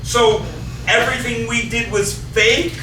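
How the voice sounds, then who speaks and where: A man's voice: extremely high pitch, fairly even intonation, a little slow. A man playing a hapless, bewildered boyfriend in a staged script reading. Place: small theatre stage, distant camera. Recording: very echoey theatre, distant, noisy.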